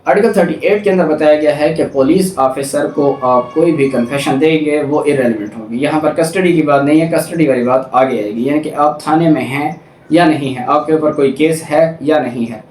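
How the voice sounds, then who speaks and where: A man's voice: a little high, normal speed, a little mellow. A man with a Pakistani accent giving an explanatory law lecture in a didactic way, repeating points for emphasis. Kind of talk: explanatory lecture, reading statutes and explaining them. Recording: roomy echo, distant mic, faint noise.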